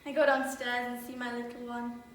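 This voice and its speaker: A woman's voice: fairly high pitch, level tone, a little fast, slight background noise, close to an everyday voice. Librarian mum, orderly and confiding, woman in role; distant, roomy echo. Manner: confiding, wry storytelling monologue. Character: orderly librarian woman, young mother, chatty and fond. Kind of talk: stage monologue. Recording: echoey room from far off.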